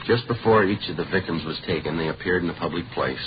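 An actor playing a methodical police detective and narrator in a radio drama, with an American accent and a clipped, matter-of-fact manner. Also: male voice, deep voice, fast, background noise, natural voice. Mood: businesslike. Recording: dull old radio recording, music behind.

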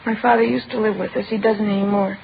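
A woman playing a distraught, bitter teenage girl in an old radio crime drama, giving halting, short answers. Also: medium pitch, steady tone, creaky, close to an everyday voice. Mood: subdued, guarded. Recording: tinny, faintly noisy old radio broadcast.

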